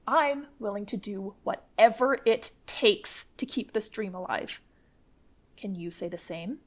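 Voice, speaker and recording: A woman acting the fierce, defiant leader of an armed commune in a radio play, forceful and foul-mouthed. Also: mid-range voice, animated, unhurried.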